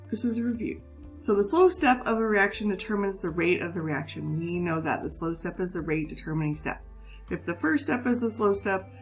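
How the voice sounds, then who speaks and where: A woman teaching chemistry, her voice a bit hoarse from a cold, with a gravelly edge that sits low in her range. She is patient and reassuring as she steps through each idea. Faint music plays behind her. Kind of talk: recorded classroom lesson.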